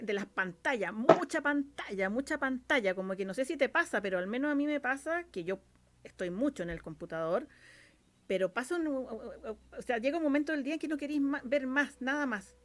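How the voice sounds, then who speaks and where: Chilean female guest, slightly high voice, casual and colloquial, thinks aloud while explaining. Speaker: interview guest, radio drama scriptwriter and producer.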